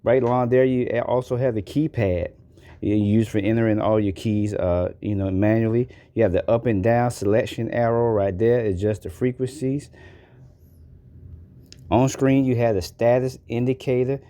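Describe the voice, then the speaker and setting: A man's voice: mid-range voice, fairly even intonation, moderate pace, mellow. A man giving a casual, folksy product walkthrough as a reviewer in an unboxing video.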